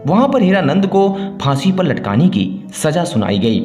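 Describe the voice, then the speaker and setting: A man's voice: a little high, very animated, moderate pace, darkish tone, dull audio. A man narrating a scripted moral story as a storyteller. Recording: roomy echo, music behind. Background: background music.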